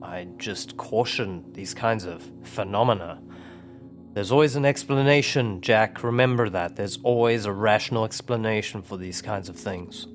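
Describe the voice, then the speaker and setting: A man's voice: lowish voice, normal speed, voice pushed down, natural voice. Rational male investigator acted by a player, formal and cautious, tabletop roleplay over faint music. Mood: measured caution.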